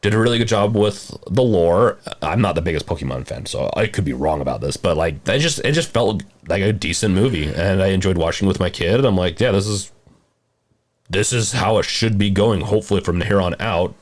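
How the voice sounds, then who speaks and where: A man's voice: sits low, lively intonation, a little fast. A man co-hosting a podcast, offering his opinions in casual conversation. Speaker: podcast co-host.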